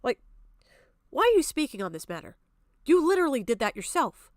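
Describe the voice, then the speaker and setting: A woman's voice: high voice, sing-song, brisk, no echo, clean studio sound. A woman giving off-the-cuff, argumentative stream commentary in a blunt, exasperated, sweary manner. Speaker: streamer reacting to a video.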